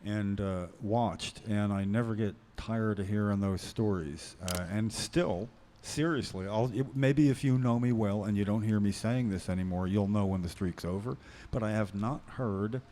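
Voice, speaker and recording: Low-voiced man chatting on a podcast. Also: moderate pace, hardly any pauses, dark tone, slight background noise. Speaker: podcast co-host. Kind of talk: casual podcast chat.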